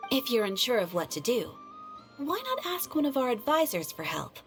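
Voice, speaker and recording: Polite, lively high-voiced guild receptionist. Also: female voice, soft background music. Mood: courteous, helpful. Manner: polite, formal, measured explanation. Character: polite, composed female adventurers' guild receptionist, helpful and formal. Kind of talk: video game character acting.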